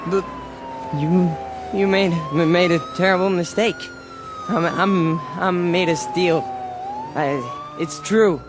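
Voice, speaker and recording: An actor playing a boastful, unstable young fanatic in a radio drama, intense, stammering and repeating himself. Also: male voice, high voice, wildly swooping pitch, takes it slowly, pauses often, background noise, exaggerated voice. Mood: dazed disbelief. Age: young adult.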